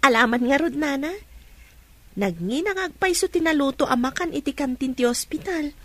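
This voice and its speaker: A woman's voice: sits high, expressive, normal speed. An actress playing an outspoken, eager young woman in a radio drama, with a Filipino Ilocano accent. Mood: friendly.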